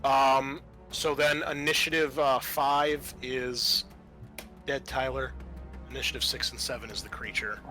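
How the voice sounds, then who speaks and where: Bright-voiced man running a tabletop game. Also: medium pitch, flat delivery, a little slow, faint music behind. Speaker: game master.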